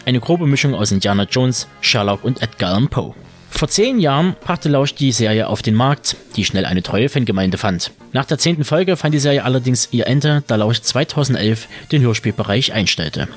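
Male podcast host, medium pitch, scripted delivery, light music bed. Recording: music under the voice.

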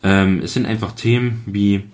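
Deep-voiced man chatting reflectively. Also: steady tone, moderate pace, darkish tone. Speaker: podcast guest. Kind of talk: casual chat. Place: kitchen.

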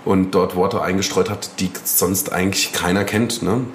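Man, low voice, casual slangy talk, roomy echo, faint noise. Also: normal speed. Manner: relaxed, rambling, slangy, many fillers. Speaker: rapper, interview guest. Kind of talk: casual interview chat.

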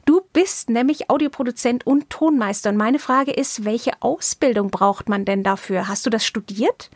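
High-voiced woman hosting, dry close sound. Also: moderate pace, no room sound. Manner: engaged, interjects agreement and sums up. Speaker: host, interviewer. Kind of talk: conversational podcast interview.

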